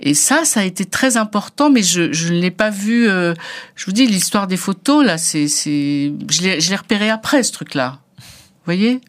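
A woman's voice: medium pitch, expressive, normal speed. A middle-aged woman photographer from North Africa, a native Arabic speaker, telling her story as a guest with many hesitations and restarts. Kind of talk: personal reflective interview talk.

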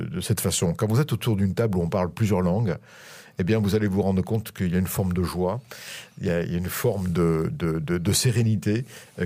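Very deep hesitant French man. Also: darkish tone. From France. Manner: hesitant, many fillers and restarts. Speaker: guest, school director. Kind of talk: interview on radio.

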